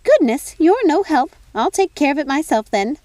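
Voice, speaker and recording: Prim bossy storybook fairy godmother, high squealy voice, scolds and fusses, dry close sound. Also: sing-song, normal speed, dark tone, no room sound. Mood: impatient. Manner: squealing, chiding, effusive. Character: fussy, prim, bossy fairy godmother, easily vexed sister. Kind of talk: audiobook character voice.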